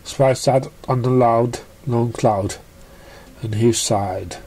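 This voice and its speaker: A narrator reading a story in an amateur storybook style, with halting, stumbling delivery. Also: mid-range voice, warm tone, husky.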